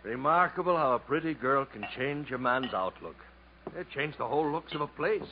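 An actor playing an insinuating, hearty-drinking bungalow owner with mock-polite, domineering manner in 1950s radio style. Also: male voice, mid-range voice, lively intonation, moderate pace, lightly acted. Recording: dull, noisy vintage radio recording.

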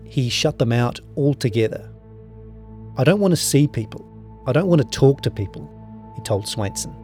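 A man's voice: medium pitch, dark tone. A man with a New Zealand accent, speaking as a journalist. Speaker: journalist reading his own story.

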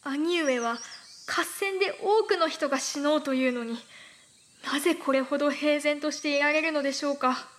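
A woman's voice: sits high, normal speed, fairly bright tone. A woman playing an anguished, principled noblewoman in a Japanese period voice drama, speaking formally and earnestly. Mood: pleading, shocked. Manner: formal, polite, earnest pleading. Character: anguished, principled samurai noblewoman, warlord's younger sister.

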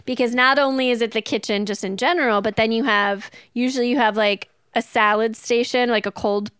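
A woman's voice: fairly high pitch, fairly even intonation, brisk, a bit bright, smooth, dry recording, studio quality. A woman co-hosting a podcast in casual, informal conversation, telling stories and acting out bits of dialogue. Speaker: podcast co-host. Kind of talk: casual chat about restaurant work.

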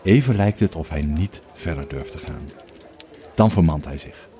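A man with a very deep voice narrates a scripted story. His tone is dark and mellow, and he delivers each line in a measured way. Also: animated.

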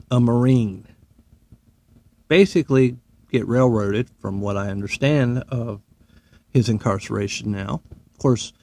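A man's voice: medium pitch, creaky, darkish tone, no room sound. A man, a military veteran, delivering a profane, sarcastic and heated opinion monologue.

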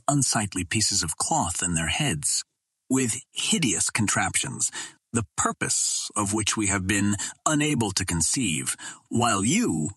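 A man's voice: on the low side, sharp tone, slightly rough, no room sound, exaggerated voice. A man voicing a proud, indulgent young Martian princess in an audiobook, speaking in formal, lofty sentences.

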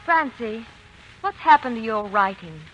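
An actress playing a prim, genteel schoolteacher, proper and instructive, in a 1940s radio drama. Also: female voice, fairly high pitch, animated, a little slow, a bit bright, highs cut off. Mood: disapproving, shocked. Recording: vintage 1940s radio, faint noise.

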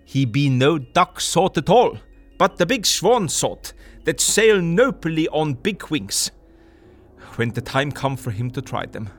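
Old professor, slow and all over the place pitch-wise, faint music under. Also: male voice. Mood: lecturing. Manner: broken English, emphatic lecturing, lively swings. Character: elderly male Dutch professor, earnest, lecturing. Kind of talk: audio drama acting.

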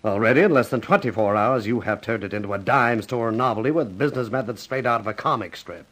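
An actor playing a stuffy, indignant general manager in a 1950s radio drama, formal and disapproving. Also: male voice, fairly low pitch, fast, a bit bright. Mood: scornful disapproval.

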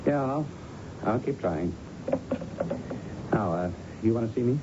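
An actor playing a patient, probing police lieutenant in a 1970s radio drama, questioning in a measured, coaxing way. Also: male voice, mid-range voice, lively intonation, lightly acted. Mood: businesslike. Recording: dull, noisy old radio broadcast.

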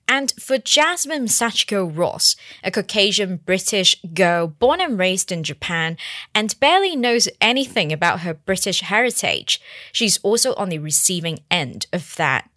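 A woman hosting a podcast, reading measured, scripted narration. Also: fairly low pitch, bright, forceful tone, dry recording, clean studio sound. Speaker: podcast host.

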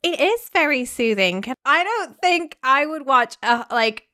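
A woman's voice: high voice, very animated, measured pace, bright, forceful tone. A woman hosting a podcast interview, enthusiastic and relatable, asking open questions. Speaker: podcast host. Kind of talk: casual interview.

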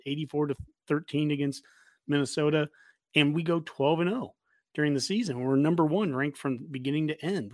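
A man's voice: a little high, no room sound. An American man in his forties chatting casually as a sports show host, talkative and rambling. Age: 40s. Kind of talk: casual interview chat.

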